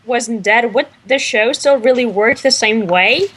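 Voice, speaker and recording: A woman with a slightly high voice, joining a casual online radio chat. She keeps asking the others questions. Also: measured pace, fairly bright tone, husky. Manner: inquisitive, asks questions. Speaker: guest. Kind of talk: casual fan discussion.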